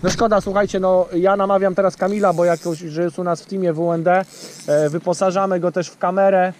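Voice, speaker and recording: A man's voice: sits high, flat delivery, a little fast, darkish tone. Chatty man on a fishing boat. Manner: chatty, addresses viewers directly, many fillers. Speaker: fishing channel host. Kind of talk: casual outdoor fishing vlog chat. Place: small boat on a lake outdoors. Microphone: action camera on the boat.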